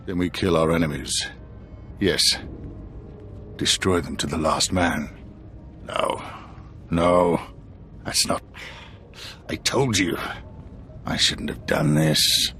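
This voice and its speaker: Under game music, a deep-voiced fallen warrior haunted by guilt. He is grave and brooding, a penitent confessing in a video game cutscene. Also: takes it slowly, long pauses, sharp tone, full character voice. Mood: dark, conflicted. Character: tormented repentant dark Jedi, former Imperial, guilt-ridden man.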